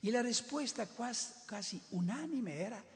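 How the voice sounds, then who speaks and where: A man's voice: extremely high pitch, expressive, a little slow. An older man who is not a native Spanish speaker, preaching a sermon in an emphatic way, often repeating and restarting words. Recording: reverberant hall.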